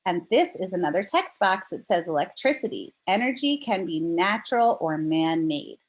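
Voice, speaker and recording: A woman teacher leading a read-aloud lesson for young children, explaining things simply and reading with deliberate expression. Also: fairly low pitch, expressive.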